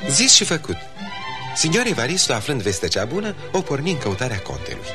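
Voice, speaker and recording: An actor as an expressive, theatrical narrator telling a classic comedy for radio theatre. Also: male voice, lowish voice. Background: music.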